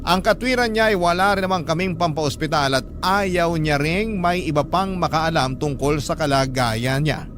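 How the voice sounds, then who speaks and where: A man's voice: fairly high pitch, moderate pace, few pauses, noisy background, lightly acted. An actor playing a troubled young man who earnestly tells his sad life story in a radio drama. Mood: worried, sorrowful. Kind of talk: dramatic radio confession narration in letter form. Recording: music bed under the voice. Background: clear background music.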